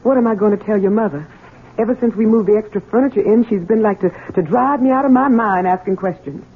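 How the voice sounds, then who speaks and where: A woman's voice: mid-range voice, fast, slightly rough, lightly acted. An actress playing an anxious, disapproving but obedient servant woman in a 1970s radio mystery, fretful and deferential. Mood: worried, reproachful. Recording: tinny, noisy old radio broadcast.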